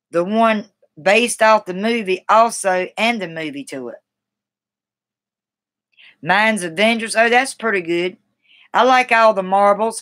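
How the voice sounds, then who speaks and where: Middle-aged woman, Carolina Southern accent, medium pitch, folksy and rambling livestream host chatting at home. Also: a bit bright. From South Carolina, United States. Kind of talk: casual chat, responding to viewers. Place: small room at home, indoors.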